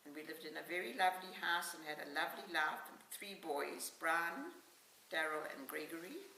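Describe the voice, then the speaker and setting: An older woman in her seventies with a South African accent, reminiscing about her life in an unhurried, matter-of-fact way as an interviewee. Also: deep voice, expressive, sharp tone. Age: 70s. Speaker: oral history interviewee. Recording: echoey room, distant mic, faint hiss.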